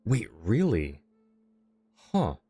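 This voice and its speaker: A man livestreaming a game with casual, thoughtful commentary as he chats with viewers, often pausing to find his words. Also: lowish voice, huge pitch swings, dark tone, breathy edge, faint music behind. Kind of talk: casual live commentary, answering chat.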